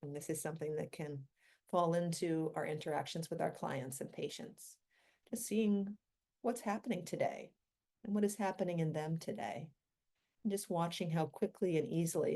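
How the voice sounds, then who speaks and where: A woman leading a guided meditation in a gentle, unhurried manner, with long pauses between short phrases. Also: deep voice.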